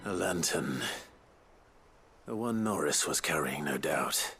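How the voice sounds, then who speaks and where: A man playing a brooding, terse young hero in a video game, speaking in a measured, hushed way. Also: a bass voice, lively intonation, slightly rough, soft background music, exaggerated voice. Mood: grave, pensive. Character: brooding young man, bearer and reluctant helper.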